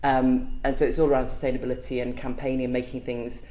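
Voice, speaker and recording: A woman's voice: extremely low pitch, level tone, fast, mellow. A woman guest on a podcast, talking openly and thoughtfully in a casual interview, with frequent ums and restarts. She is not from Wales. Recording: echoey room.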